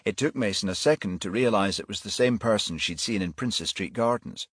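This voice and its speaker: A man's voice: lowish voice, brisk, fairly bright tone, breathy edge, no echo, studio quality. A man reading a crime thriller audiobook as scripted narration.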